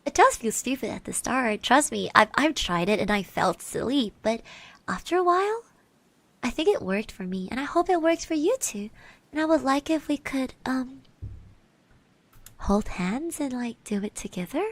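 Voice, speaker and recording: A woman playing a shy, caring schoolgirl classmate in a soft, partly whispered ASMR roleplay, speaking hesitantly and bashfully with gentle encouragement. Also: high-pitched, lively intonation, normal speed, no echo. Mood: shy, encouraging.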